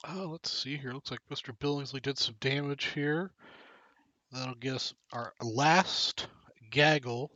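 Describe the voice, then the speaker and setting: A man's voice: medium pitch, a little slow, sharp tone, dry recording. A man hosting a live card break stream, chatting casually and joking with viewers while reading out cards and names. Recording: dull lo-fi stream audio.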